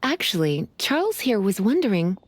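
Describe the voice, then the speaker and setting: A woman playing a languid, elegant, teasing mature lady in a video game, with a breathy voice. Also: mid-range voice, sing-song, moderate pace, soft background music, close to an everyday voice. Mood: smooth, teasing.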